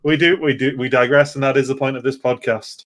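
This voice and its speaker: A man's voice: mid-range voice, fairly even intonation, quick pace, fairly bright tone. A man hosting a casual gaming podcast, chatty and digressive, often interrupting himself as he goes. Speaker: podcast host.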